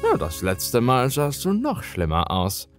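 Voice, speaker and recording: A man voicing a mysterious older man in a horror story, slyly friendly, with a slow, drawn-out delivery. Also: mid-range voice, wildly swooping pitch, over music, pitched above the performer's own voice. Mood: sly, offering help. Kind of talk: horror audio story character acting.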